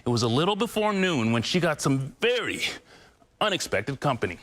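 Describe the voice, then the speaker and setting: A man's voice: fairly high pitch, big pitch swings, fairly quick, very noisy. A man reporting a local news story in the field and chatting with the anchor.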